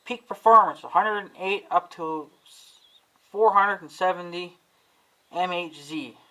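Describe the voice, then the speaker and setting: A man's voice: sits high, pushed and bright, rough recording. A man vlogging casually at home, rambling and swearing often as he complains about his equipment. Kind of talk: casual talking to camera about gear.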